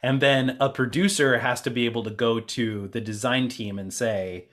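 Male podcast host, conversational and sincere, appreciative tone, medium pitch. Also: steady tone, normal speed, a bit bright. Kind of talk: casual round-table conversation.

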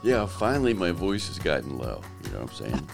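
A man hosting a podcast in casual, joking chat, his voice lowered by allergies. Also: very animated, a little fast, creaky, soft background music. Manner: joking, teasing. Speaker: podcast host. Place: South Carolina.

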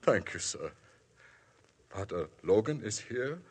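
An actor playing a frightened German immigrant caretaker with a German accent, in a 1950s radio drama. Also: male voice, lowish voice, big pitch swings, slow pace, a little mellow, heavily acted. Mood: fearful. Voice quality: husky, pleading and fearful. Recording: old 1950s radio broadcast.

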